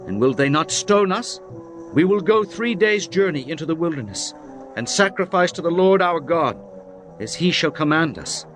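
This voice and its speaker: A man's voice: a little high, expressive, normal speed, a bit bright. A man playing an earnest, firm prophet in a solemn, declamatory dramatised scripture reading. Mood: firm, protesting. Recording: music behind the voice.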